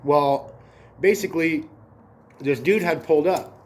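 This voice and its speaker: A man's voice: on the high side, a little slow, darkish tone. A man with a slight cold, sounding a bit stuffy, telling a story in a laid-back, slangy way. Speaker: host. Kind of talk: casual storytelling.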